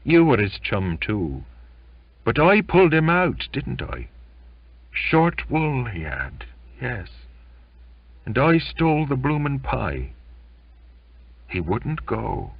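A man's voice: fairly low pitch, huge pitch swings, slow, no echo, lo-fi sound, pitched above the performer's own voice, full character voice. A man voicing a drunk, tearful sailor who stammers and sobs in a maudlin tone. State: drunk, crying.

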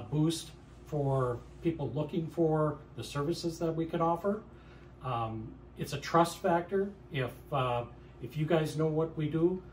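A man's voice: medium pitch, distant mic, a little hiss or hum. Earnest middle-aged man, echoey room. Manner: earnest, measured, with frequent uh and um. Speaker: nonprofit farm aid leader. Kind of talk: interview answers. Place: indoor lobby with stone fireplace.

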